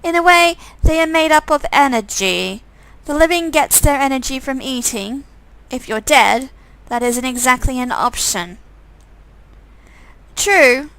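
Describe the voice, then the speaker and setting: Woman acting out chatty, high-voiced young woman. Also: lively intonation, a little slow, a bit bright, clean tone, dry recording, full character voice, low-quality recording. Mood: keen.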